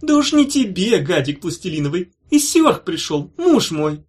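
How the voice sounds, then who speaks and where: A man reading an audiobook, voicing a stubborn former witch woman who mocks her captors, in a husky voice. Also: high-pitched, big pitch swings, normal speed, pitched above the performer's own voice, heavily acted. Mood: sweetly scornful.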